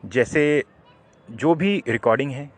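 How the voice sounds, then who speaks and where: Male legal presenter, mid-pitched voice, didactic explanatory delivery. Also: slow pace. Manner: didactic, poses questions then answers them. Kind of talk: explanatory legal talk to viewers.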